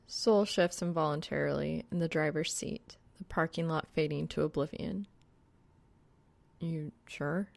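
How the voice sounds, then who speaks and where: A woman's voice: low voice, moderate pace, creaky, few pauses, no echo. A woman reading scripted fan fiction as an audiobook narrator.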